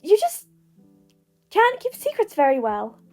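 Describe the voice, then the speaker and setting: An earnest, skeptical teenage boy in an audio story, voiced by a woman. The pitch is lifted very high and the delivery is a little huffy. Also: wildly swooping pitch, measured pace, darkish tone, soft background music, heavily acted.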